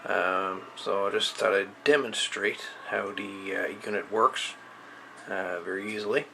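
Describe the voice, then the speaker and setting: A man giving a casual, unscripted product demonstration as an equipment reviewer, speaking haltingly as he searches for words. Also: sits low, steady tone, creaky, sharp tone, a little hiss or hum, poor audio. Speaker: amateur radio equipment reviewer.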